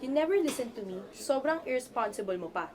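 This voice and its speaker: A woman's voice: high-pitched, animated, fairly quick, crowd noise. A woman with a Filipino accent acting as a stern, scolding college professor in a radio drama.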